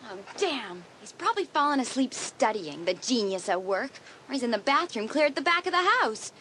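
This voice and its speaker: A woman's voice: on the high side, very animated, fairly quick, a bit bright, a little hiss or hum. An actress playing an easily frightened, indignant teenage girl in a dramatised horror audiobook. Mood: uneasy. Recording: music under the voice.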